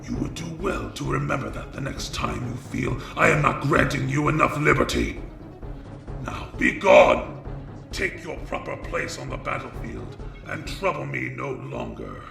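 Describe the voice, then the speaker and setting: A man playing an arrogant, imperious vampire lord in a fantasy audio drama, gravelly and menacing, speaking slowly with contempt. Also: mid-range voice, wildly swooping pitch, fairly bright tone, faint music behind, full character voice. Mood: scornful, commanding. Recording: roomy reverb.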